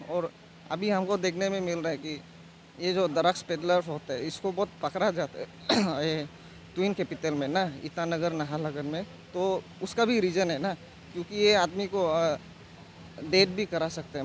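A young man from Arunachal Pradesh giving a measured answer in a street interview at an outdoor market stall. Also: a little high, slight background noise. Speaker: vox pop interviewee. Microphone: handheld interviewer mic.

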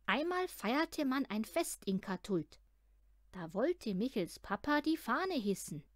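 A woman's voice: on the high side, no echo, studio quality. A woman narrating a children's story from a script, expressively and as if speaking to a young listener. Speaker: audiobook reader.

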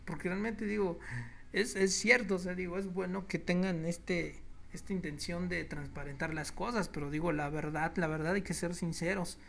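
Gravelly, sarcastic Mexican man. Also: sits high, fairly even intonation, normal speed, a bit bright, a little hiss or hum. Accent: Mexican Spanish. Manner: sarcastic and indignant; speaks to listeners as "you all". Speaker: political blogger and commentator. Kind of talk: news commentary monologue.

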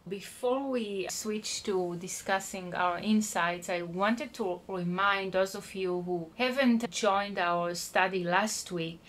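A woman's voice: mid-range voice, slow, hardly any pauses, fairly bright tone. A woman teaching an online Bible study, explaining patiently and checking in with her listeners. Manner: explanatory, spells out words, checks in with "okay?".

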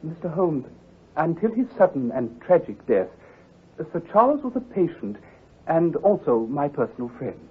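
An actor playing an earnest, amiable, worried young country doctor, formal and fussy, in an old-time radio drama. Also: male voice, fairly high pitch, sing-song, normal speed, breathy edge, full character voice. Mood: grave. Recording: muffled, lo-fi vintage radio recording.

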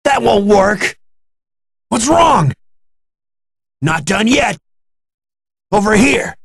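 An actor playing an earnest young martial-arts hero in an anime fighting game, with an intense, emphatic delivery. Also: male voice, sits high, expressive, very slow, creaky, fairly bright tone, husky, dry recording, studio quality, exaggerated voice. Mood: fierce.

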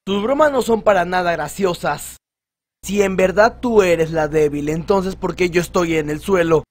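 A man's voice: high-pitched, normal speed, natural voice. A man playing a hot-headed teenage boy soldier in an amateur Latin American Spanish anime fan dub. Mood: annoyed.

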